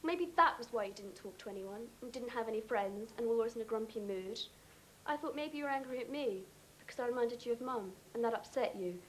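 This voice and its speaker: A young actress playing a bold, hurt daughter in a wheelchair who confronts her father in sharp, reproachful words. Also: fairly high pitch, brisk, slight background noise, lightly acted, rough recording. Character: defiant young girl in a wheelchair.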